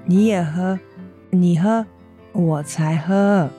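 A woman with a Taiwanese accent playing a gentle, apologetic mother recovering from surgery, speaking tenderly in an educational radio drama. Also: on the low side, slow, faint music behind. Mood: caring, insistent. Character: gentle, apologetic convalescing mother of a teenage boy. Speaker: amateur voice actor, schoolteacher.

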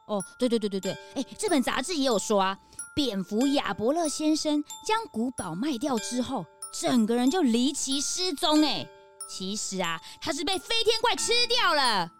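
A woman's voice: high voice, very animated, normal speed, soft background music. A woman playing a timid, superstitious young sidekick in a children's detective audio drama, childlike and whiny. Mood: spooked.

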